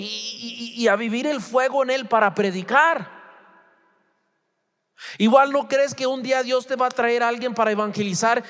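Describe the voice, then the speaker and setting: A man's voice: very high-pitched, a bit bright. A man in his forties, a native English speaker, preaching as a pastor in an informal, conversational way, acting out bits of dialogue and putting questions to his listeners. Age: 40s. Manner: informal, acts out imagined dialogue, asks the listeners rhetorical questions. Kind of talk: conversational expository sermon in Spanish as a second language. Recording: reverberant hall with long echo.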